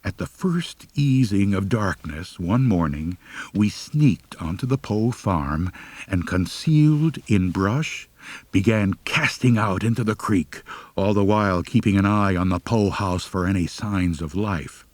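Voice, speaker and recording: A man's voice: low voice, lively intonation, measured pace, a little mellow, dry recording. A man narrating a humorous first-person story as an audiobook reader, with a wry, mock-serious delivery.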